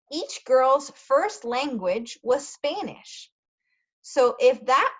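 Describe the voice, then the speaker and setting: A woman reading a story aloud as a teacher, carefully pausing to explain words for language learners. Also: fairly high pitch. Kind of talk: read-aloud storytelling with brief translations. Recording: dull lo-fi sound.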